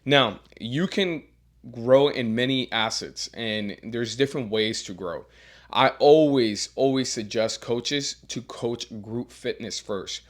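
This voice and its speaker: A man who coaches strength and teaches as a professor, giving earnest career advice with a deeper voice and frequent repeated words as he restarts. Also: slow. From Miami. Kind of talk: advice monologue.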